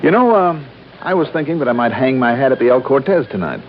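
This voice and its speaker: An actor playing a suave, wry insurance investigator in an old-time radio detective drama, smooth and conversational. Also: male voice, medium pitch, lively intonation, fast talker, slight background noise, lightly acted. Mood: easygoing, flirtatious. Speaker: lead actor and narrator. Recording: dull vintage radio recording with music.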